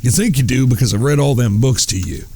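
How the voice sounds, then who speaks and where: An actor playing a stern, protective outlaw older brother in a Western audio drama, with an American Southern accent and a folksy, commanding way of talking. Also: male voice, mid-range voice, quick pace, a little mellow, a little hiss or hum, natural voice. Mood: earnest, reassuring.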